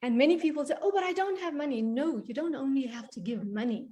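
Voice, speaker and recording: A South African woman, a guest coach on a podcast, talking in an animated, emphatic, motivational way. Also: high-pitched, fast. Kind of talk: podcast interview.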